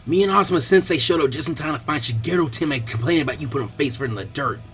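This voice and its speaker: A man's voice: on the high side, lively intonation, rapid-fire, fairly bright tone, faint music behind. A man voicing a brash, hot-headed, protective teenage boy ninja in a blunt way, in a story reading. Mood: explaining.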